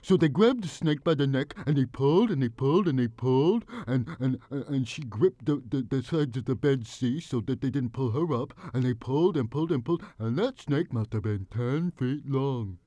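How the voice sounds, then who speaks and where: A man playing a folksy, wide-eyed spinner of tall tales, earnest and rustic in his drawn-out storytelling. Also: mid-range voice, lively intonation, dark tone, no room sound, natural voice. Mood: excited, insistent. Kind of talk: dramatic storytelling on a voice demo.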